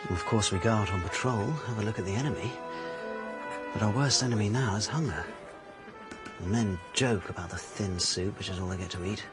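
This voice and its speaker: An actor playing an earnest young cavalry officer in a radio drama, narrating thoughtfully. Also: male voice, fairly low pitch, fairly quick, natural voice. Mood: reflective. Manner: reflective narration, as if writing a letter. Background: music.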